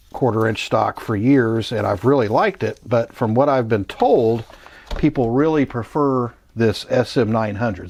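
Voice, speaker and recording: A man's voice: mid-range voice, vocal fry, darkish tone. Man casually demonstrating vintage audio gear. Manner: informal, chatty, talks through each step. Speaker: host of a vintage electronics channel.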